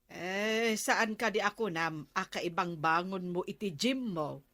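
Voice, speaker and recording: Casting: plain-spoken barangay wife, mid-pitched, Ilocano accent, hesitant conversational delivery, radio comedy. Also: female voice, a little slow, fairly bright tone, dry recording. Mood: mildly complaining.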